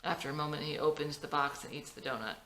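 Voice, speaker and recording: A woman's voice: deep voice, fast talker, pushed and bright. A woman reading a screenplay aloud as scripted narration, in a roomy, echoing recording.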